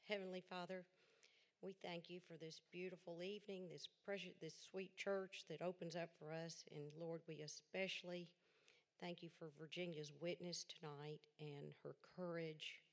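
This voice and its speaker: A woman with a fairly low voice welcomes people in a chatty, informal, slightly stumbling way. She leads a short prayer. Her voice carries long reverb in a church hall. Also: level tone, unhurried. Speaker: event host. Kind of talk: church event introduction and prayer.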